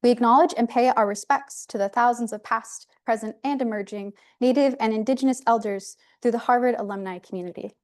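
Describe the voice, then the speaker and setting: A woman panelist reading a formal land acknowledgment and introducing herself, in a measured, formal way. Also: fairly high pitch, darkish tone. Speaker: panelist, assistant director of a university program.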